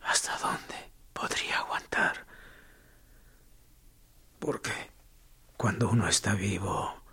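Radio play: a man voicing a broken prisoner, raspy whisper, slow and gravelly, taunting his captor. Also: fairly low pitch, pushed and bright, exaggerated voice. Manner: hoarse whispering, sarcastic and morbid. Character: tortured male prisoner, defiant and mocking. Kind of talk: radio drama acting.

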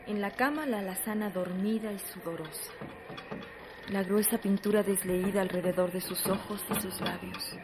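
A woman's voice: mid-range voice, moderate pace. A woman reading scripted literary narration for a radio drama. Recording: clear noise behind the voice.

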